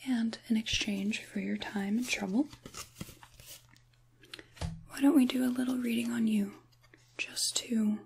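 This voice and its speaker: A woman playing a soothing, mysterious witch in an ASMR tarot roleplay, speaking softly and half-whispering with pauses, close to the microphone. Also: fairly high pitch, fairly even intonation, gravelly, slight background noise. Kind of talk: soft-spoken ASMR roleplay, tarot reading.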